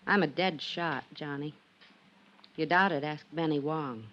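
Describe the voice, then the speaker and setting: An actress playing a scheming, calculating young femme fatale in a 1950s radio drama, speaking in short, deliberate dramatic phrases with an American accent. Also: female voice, low voice. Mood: cold, menacing. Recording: muffled, noisy old radio recording.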